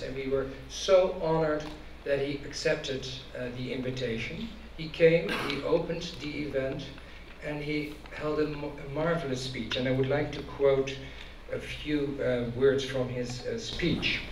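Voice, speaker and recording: A man's voice: mid-range voice, fairly even intonation, a little slow. Man introducing a speaker in an echoey hall. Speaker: advocacy director introducing a speaker. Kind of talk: event introduction speech. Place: large indoor event venue with stage. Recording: echoey hall from far off, noisy.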